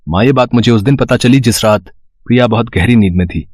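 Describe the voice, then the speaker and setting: A man playing a caring young husband and son in an animated moral story, earnest, gentle and persuasive. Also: medium pitch, a little fast, dry recording, natural voice. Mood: earnest, pleading.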